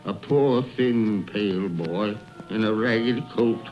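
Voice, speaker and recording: A man's voice: lowish voice, slow. An actor playing a frightened, remorseful old miser in a radio drama, exclaiming, stammering and repeating his words. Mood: wistful, regretful. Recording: muffled radio broadcast with music underneath.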